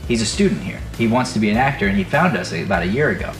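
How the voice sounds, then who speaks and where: A man's voice: lowish voice, lively intonation, rapid-fire, soft background music. A man hosting a podcast and telling motivational stories in an earnest, emphatic, conversational way. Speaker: podcast host and acting teacher. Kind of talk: casual podcast talk and motivational storytelling.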